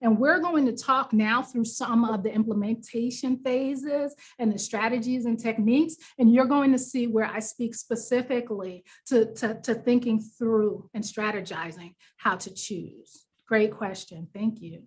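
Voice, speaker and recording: High-voiced New York woman leading a workshop. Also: a little slow, few pauses, slightly rough. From New York, United States. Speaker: workshop presenter, business coach. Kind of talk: conversational workshop presentation.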